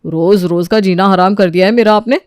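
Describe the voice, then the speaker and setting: A woman playing a proud, feisty housemaid in an audio story, argumentative and quick to talk back. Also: medium pitch, darkish tone, clean tone, dry recording, studio quality, pitched below the performer's own voice, close to an everyday voice. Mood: defiant. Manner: defiant, argumentative.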